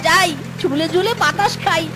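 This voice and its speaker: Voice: very high voice, normal speed, very noisy. A performer voicing a childish, silly fox husband in a cartoon, whining and pleading in a babyish sing-song way. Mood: pouty, dreamy. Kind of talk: exaggerated cartoon voice acting.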